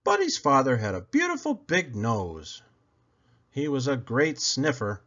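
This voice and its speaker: Very animated, slow-reading male storyteller. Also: medium pitch, lo-fi sound. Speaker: storyteller, read-aloud host. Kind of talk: expressive children's book narration.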